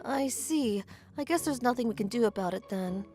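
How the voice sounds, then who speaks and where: Casting: woman as sweet teenage love interest, high-pitched, polite, earnest, romcom manga dub. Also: lively intonation, normal speed, mellow, soft background music, close to an everyday voice. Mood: earnest resolve. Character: Misaki, sweet earnest lovestruck teenage schoolgirl.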